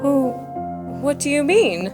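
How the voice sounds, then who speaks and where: A woman voicing a kindly, patient female pony schoolteacher with a gentle manner in a cartoon fan audio drama. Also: high-pitched, very animated, slow pace, exaggerated voice. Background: music.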